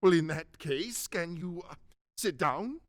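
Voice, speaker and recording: A man's voice: on the high side, animated, a little slow. A man playing a frightened, stubborn old miser in a radio drama, stammering and flustered. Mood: flustered, doubting.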